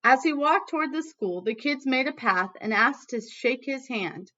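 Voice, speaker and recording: A woman teacher reading a storybook aloud to students in an animated, emphatic way, with casual asides. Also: high-pitched, moderate pace, muffled recording, slight background noise.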